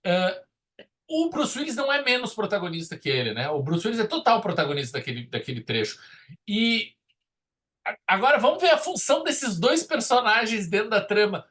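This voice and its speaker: A man's voice: sits high, sing-song, fairly quick, a bit bright. A man with a southern Brazilian accent in a casual, opinionated film discussion, telling stories in an emphatic, colloquial way as a podcast host.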